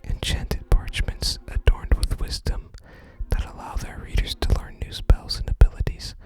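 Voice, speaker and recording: A person whispering in a soft, breathy, unhurried ASMR style while narrating a video game and reading its text aloud. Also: loud music behind the voice, rough recording. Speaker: ASMR gaming video host.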